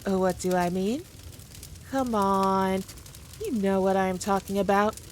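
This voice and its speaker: A woman's voice: medium pitch, steady tone, measured pace, slight background noise, natural voice. A woman playing a powerful demon queen in a scripted roleplay, talking down to the listener in a teasing, dominant, condescending way. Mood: mocking. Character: haughty, playful, all-powerful female demon queen.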